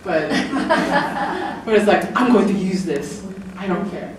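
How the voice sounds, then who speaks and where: A woman's voice: lively intonation, slow. Middle-aged woman, chatty talk in an echoey room. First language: English. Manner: chatty, laughing, acting out quoted lines with pitch jumps. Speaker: author and illustrator giving a book talk. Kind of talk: informal presentation. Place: lecture room, indoors. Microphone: far camera in the room. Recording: roomy, distant camera audio with noise.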